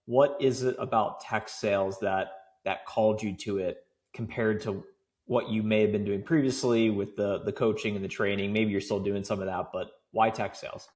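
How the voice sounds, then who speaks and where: A man's voice: fairly low pitch, steady tone, moderate pace, darkish tone. A man hosting an interview over a video call, asking long, encouraging questions that frame the guest's story. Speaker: host.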